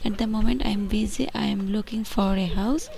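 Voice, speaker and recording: A woman's voice: mid-range voice, a little fast, vocal fry, warm tone. A woman from Bangladesh, introducing herself in short plain sentences in class.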